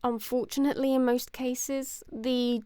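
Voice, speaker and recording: Female expert character, played by an actress: slightly high, dry-sounding, measured and grave explanatory audio drama delivery. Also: flat delivery, dark tone, smooth, no echo. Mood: matter-of-fact, grave. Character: composed, matter-of-fact woman briefing grim findings. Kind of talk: scripted expository dialogue.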